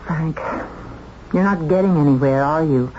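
A woman's voice: sits low, moderate pace, a little mellow, close to an everyday voice. A woman acting an anxious but proud murder suspect in a 1970s radio drama, dramatic and earnest, with pointed questions. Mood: bitter, worried. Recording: dull, noisy 1970s radio drama recording.

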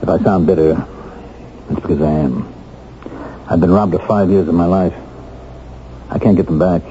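A man's voice: low voice, steady tone, normal speed, dark tone, a little hiss or hum, natural voice. An American actor playing a bitter, determined middle-aged man just freed from prison, speaking earnestly and emphatically in 1970s radio drama style. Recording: dull vintage radio recording with music.

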